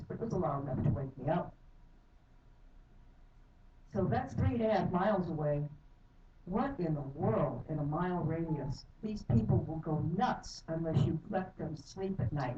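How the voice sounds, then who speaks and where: A woman's voice: sits low, expressive, a little slow. A middle-aged woman giving earnest, heated public testimony at a council hearing. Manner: earnest, heated, apologizes for anger. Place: county council chamber. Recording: echoey hall from far off, dull and noisy.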